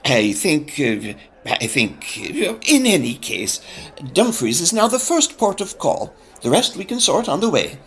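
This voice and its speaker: A flamboyant impresario of a Victorian opera company in a comic audio play. His speech is grandiose and unhurried, swinging widely in pitch, and easily turns flustered. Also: big pitch swings, a bit bright, exaggerated voice. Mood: flustered, reassuring. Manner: florid, grandiose, theatrical. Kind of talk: period comedy audio drama acting.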